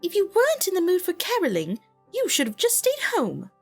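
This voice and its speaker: A dainty female pony from a storybook reading. The voice sits extremely high and lilts widely. It coaxes in a cooing, genteel way over soft music. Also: wildly swooping pitch, fairly quick, heavily acted. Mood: genteel chiding. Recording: music behind the voice.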